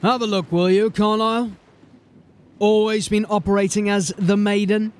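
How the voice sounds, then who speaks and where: A man's voice: sits high, fairly even intonation, normal speed, clean tone, close to an everyday voice. A man playing a stern male city watch sergeant who questions people in a formal, suspicious way. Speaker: police sergeant.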